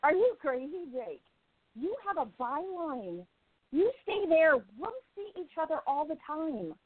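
A woman's voice: high-pitched, very animated, measured pace. A woman playing an independent young newspaperwoman of the 1920s in a radio play, with an earnest, emphatic delivery. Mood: indignant. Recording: tinny phone-like line, roomy echo.

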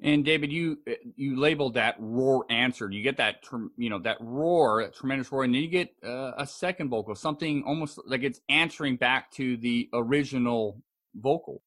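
A man's voice: mid-range voice, moderate pace, a bit bright. A man with a mid-range voice hosts a casual podcast discussion. He introduces audio clips conversationally, a little hesitant, and often doubles back to repeat his phrases. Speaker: podcast host.

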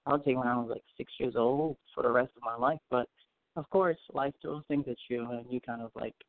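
A man from Haiti talks about himself as an interview guest, with a hesitant way of speaking that is full of fillers and restarts. His voice sits at an ordinary pitch. The sound is dry and tinny, like it is coming over a phone line. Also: steady tone, brisk, no room sound. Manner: hesitant, many fillers and restarts, laughs easily. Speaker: guest artist. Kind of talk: casual interview.

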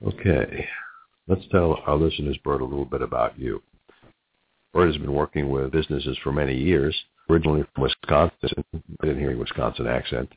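A middle-aged man with a New York accent hosting a business talk show, halting and repeating words as he goes. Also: rumbling low, husky. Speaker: radio talk show host. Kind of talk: business interview and announcements. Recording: muffled narrow phone-like audio.

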